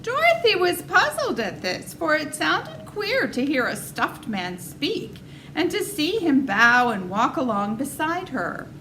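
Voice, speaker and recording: Bright, high-voiced woman reading a story. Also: wildly swooping pitch, a little slow, slight background noise, poor audio. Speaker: story reader. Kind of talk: expressive children's story narration.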